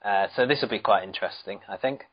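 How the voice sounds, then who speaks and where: A man co-hosting a podcast in casual chat, dry and gently teasing. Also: lowish voice, quick pace, slightly rough. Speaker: podcast co-host. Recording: dull lo-fi sound.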